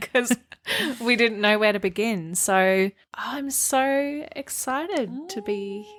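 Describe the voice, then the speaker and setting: A woman's voice: on the high side, animated, slow pace, a bit bright. A woman co-hosting a book podcast, chatting casually in a giggly, talkative way.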